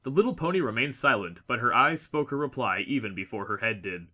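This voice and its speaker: A man reading a scripted story as a narrator, with expressive, dramatic delivery. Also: mid-range voice, brisk. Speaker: audiobook narrator.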